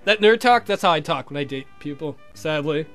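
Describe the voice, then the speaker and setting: High-voiced man joking through a game. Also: animated, fairly quick, fairly bright tone, soft background music. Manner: irreverent, crude joking. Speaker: let's play host. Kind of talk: casual joking game commentary.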